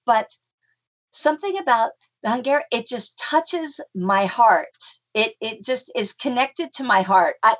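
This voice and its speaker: A woman's voice: on the high side, lively intonation, measured pace, a bit bright. A middle-aged woman from North America, a folk dancer being interviewed, talking in a chatty, rambling way with many fillers and restarts. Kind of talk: casual conversational interview.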